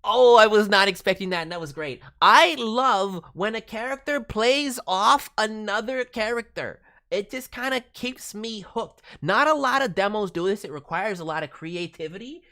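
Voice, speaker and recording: A woman's voice: medium pitch, animated, normal speed, hardly any pauses, pushed and bright. A woman hosting a livestream critique as a voice director, giving encouraging, emphatic feedback. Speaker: host, voice director reviewing demo reels. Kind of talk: spoken critique, livestream commentary.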